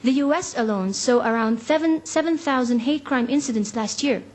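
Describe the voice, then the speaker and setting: A woman's voice: a little high, brisk, darkish tone. Serious young woman reading a speech. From Egypt. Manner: serious, reads out figures. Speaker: youth delegate. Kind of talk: prepared speech at a forum.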